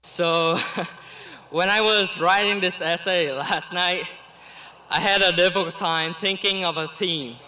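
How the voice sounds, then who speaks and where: A man's voice: high-pitched, steady tone, sharp tone, low-quality recording. Earnest young man. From Vietnam. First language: Vietnamese. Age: late teens. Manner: earnest and deliberate, with small laughs between phrases. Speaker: graduating student giving the commencement speech. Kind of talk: reading a prepared commencement speech.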